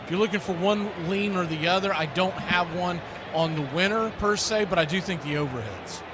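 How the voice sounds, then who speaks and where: A man's voice: sits high, brisk, noisy background. An American man hosting a live football watch party, chatting casually and in a folksy way as he previews the game.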